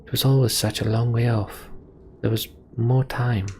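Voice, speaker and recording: A man voicing a weary, guilt-ridden fantasy warrior from a video game in a grave, halting confession. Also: medium pitch, flat delivery, a little mellow, a little hiss or hum, natural voice. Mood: regretful.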